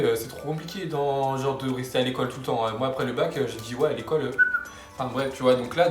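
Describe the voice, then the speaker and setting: Chatty young man, slangy vlog in an echoey room. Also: mid-range voice. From France. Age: young adult. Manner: slangy, rambling, upbeat. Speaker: vlogger. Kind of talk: casual vlog talk. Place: room at home, building works nearby.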